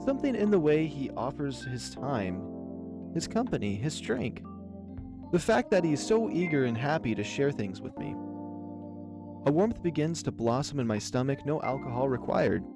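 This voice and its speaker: Male narrator, mid-range pitch, expressive visual novel reading, first-person storytelling, clear music bed. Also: normal speed.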